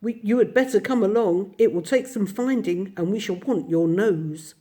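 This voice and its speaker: A woman voicing a cheerful, brisk, kindly male water rat in a slightly raised, mellow voice. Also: mid-range voice, close to an everyday voice. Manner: cheerful, brisk, matter-of-fact.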